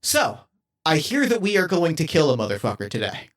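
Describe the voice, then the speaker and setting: A man's voice: on the high side, big pitch swings, fairly bright tone. A man voicing an earnest male assassin robot in a tabletop game, speaking formally and carefully with a lot of hesitation. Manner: formal, careful, halting speech.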